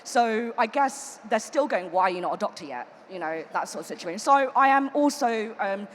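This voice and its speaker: A woman's voice: medium pitch, animated, moderate pace. Woman panelist speaking in a reverberant hall. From United Kingdom. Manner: reflective, loose, with many fillers. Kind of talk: conference panel talk. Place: conference hall. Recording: reverberant hall, faint noise.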